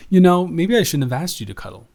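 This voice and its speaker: A man playing a teasing, affectionate young roommate who gets easily flustered, speaking haltingly and conversationally in an intimate improvised audio roleplay. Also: on the high side, big pitch swings, gravelly, darkish tone. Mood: earnest, exasperated. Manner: halting, conversational, self-correcting.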